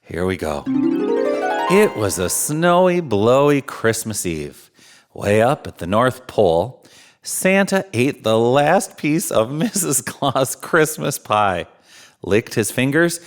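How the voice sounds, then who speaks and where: A man reads a children's story aloud as a theatrical storyteller. His pitch is highly animated and mid-range, and he stretches words for emphasis. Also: soft background music. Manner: chuckling, theatrical, drawn-out emphasis. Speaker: storyteller in Santa persona.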